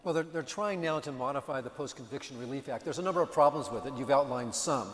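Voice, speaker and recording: Calm man explaining in an echoey hall. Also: medium pitch, fast talker. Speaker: panelist answering a legal question. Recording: echoey hall with long reverb.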